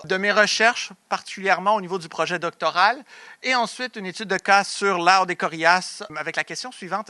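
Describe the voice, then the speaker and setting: A man's voice: sits high, bright, forceful tone, dry recording. A young man from Montreal with a Québécois French accent gives an academic conference presentation, often hesitating and restarting his sentences. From Montreal, Quebec, Canada. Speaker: doctoral researcher, conference speaker.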